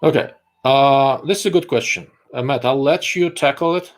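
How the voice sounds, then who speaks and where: A man's voice: medium pitch, normal speed. A man from Russia, hosting a live-stream chat in a rambling way, full of fillers and restarts. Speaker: host.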